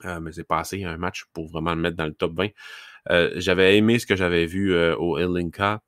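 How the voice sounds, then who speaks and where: A man's voice: deep voice, little pitch movement, a little fast, fairly bright tone, dry recording, clean studio sound. A man with a Québécois accent from Lac-Saint-Jean, hosting a hockey scouting podcast in a rambling, casual way with many fillers. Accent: Québécois French, Lac-Saint-Jean. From Quebec, Lac-Saint-Jean. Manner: rambling, many fillers and restarts, laughs at himself. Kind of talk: casual solo podcast talk.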